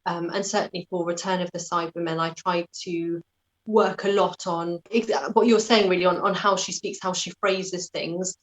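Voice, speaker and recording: British woman with a slightly low voice. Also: fairly even intonation, normal speed, poor audio. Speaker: interview guest, actor. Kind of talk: conversational interview.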